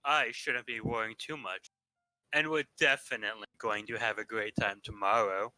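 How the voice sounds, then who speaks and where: Bright, playful male narrator. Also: fairly low pitch, steady tone, moderate pace, rough recording. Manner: playful, dropping jokey asides. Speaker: narrator in a staged reading. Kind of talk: first-person narration read aloud.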